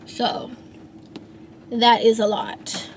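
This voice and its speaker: Woman, thirties, slightly high voice, unhurried, rambling reflective vlog talk, restarts often, faint background noise. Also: little pitch movement, a little mellow. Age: 30s. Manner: rambling, frequent restarts and fillers. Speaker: singer and songwriter vlogger. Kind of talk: reflective casual monologue.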